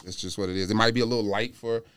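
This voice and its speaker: A man's voice: on the low side, fairly even intonation, rapid-fire, a bit bright, clean tone, no room sound. A man chatting as a podcast guest in an informal, blunt way. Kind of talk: casual sneaker podcast chat.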